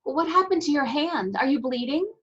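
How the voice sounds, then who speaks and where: A woman's voice: high-pitched, lively intonation, normal speed, lo-fi sound. A woman in a staged play reading, playing a fragile, shaken young Barbie doll, tentative and sweet. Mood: gentle, curious.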